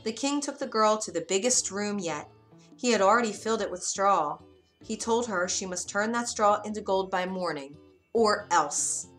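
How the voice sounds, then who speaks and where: A woman narrating a children's bedtime story in an expressive, gentle way. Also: mid-range voice, normal speed. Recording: soft music bed behind.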